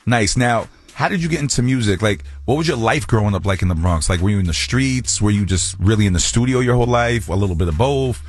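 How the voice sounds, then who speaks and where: A man's voice: lowish voice, fast talker, hardly any pauses. A man hosting a radio interview in a studio, joking and bantering with his guest. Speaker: radio DJ host.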